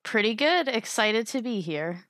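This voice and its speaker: A woman's voice: on the high side, big pitch swings, unhurried, fairly bright tone, no echo, clean studio sound. A young woman appearing as a scientist guest on a podcast, chatting in a playful, informal way. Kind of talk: casual chat.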